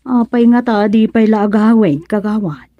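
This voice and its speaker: An actress playing a middle-aged wife and aunt with an Ilocano accent in a radio drama comedy. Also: female voice, medium pitch, moderate pace, no room sound, lo-fi sound, lightly acted. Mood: teasing.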